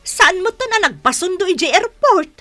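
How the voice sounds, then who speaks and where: A woman's voice: very high voice, lively intonation, moderate pace, a bit bright, faint music behind. A woman playing an exasperated, long-suffering wife in a radio drama, earnest, with an Ilocano accent. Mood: excited.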